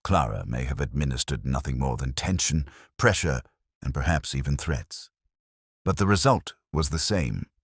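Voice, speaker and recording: A man voicing an analytical, composed Victorian detective in an audiobook, speaking precisely and deliberately with cool reasoning. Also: extremely low pitch, animated, breathy edge, no room sound, clean studio sound, heavily acted. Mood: certain, grave.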